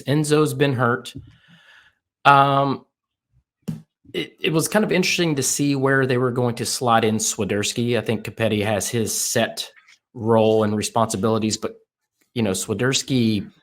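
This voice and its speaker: A man hosting a casual soccer podcast, eager and talking in quick bursts as he asks his guest questions. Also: medium pitch. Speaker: podcast host.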